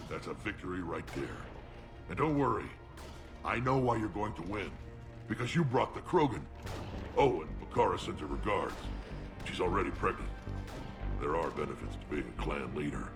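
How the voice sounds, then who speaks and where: A man playing a huge, battle-proud alien clan leader in a video game, gruff, blunt and boisterous with a gravelly voice. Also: lowish voice. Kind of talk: video game dramatic acting. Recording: game dialogue over music.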